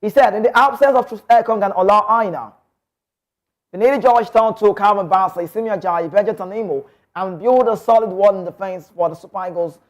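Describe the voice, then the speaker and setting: A man's voice: high voice, fairly quick. A Nigerian man hosting a sports talk show, giving passionate, opinionated football commentary full of emphatic rhetorical questions.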